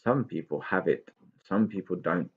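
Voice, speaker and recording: Guy doing an eager little storybook pixie boy, husky, exclaims a lot, slightly dull recording. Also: sits low, moderate pace. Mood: wistful, grumbling. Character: excitable, wishful young male pixie called Binky.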